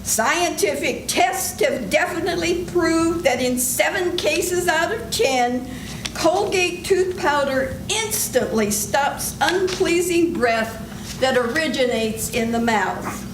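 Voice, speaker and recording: Woman radio announcer, high lively voice, emphatic, echoey hall with background noise. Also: slow pace. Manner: emphatic, persuasive. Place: senior center stage.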